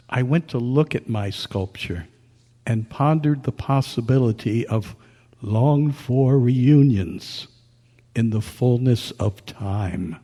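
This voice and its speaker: A man acting a gentle listener and narrator in a staged radio drama, speaking deliberately. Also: medium pitch. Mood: reflective. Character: Colonel Bear, kindly listener comforting a ghost, also narrator. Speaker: narrator and actor. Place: live club meeting in a hall. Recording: echoey hall with long reverb.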